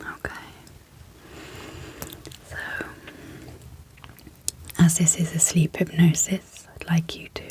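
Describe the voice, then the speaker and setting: A woman speaking in a breathy, whispery hush, gentle and measured with long pauses, guiding an ASMR sleep hypnosis as host. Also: deep voice. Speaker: ASMR host and clinical psychologist.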